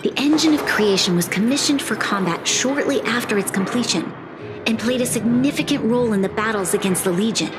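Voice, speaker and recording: A woman playing an earnest, resolute young female leader in a video game cutscene, speaking in a measured, formal way. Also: on the high side, lightly acted. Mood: solemn, proud. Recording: loud game music behind the voice. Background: music.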